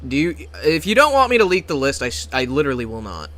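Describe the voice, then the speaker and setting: A man's voice: a little high, quick pace. Casual young man streaming a card game. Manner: relaxed, mumbling asides, swearing. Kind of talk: casual livestream chat.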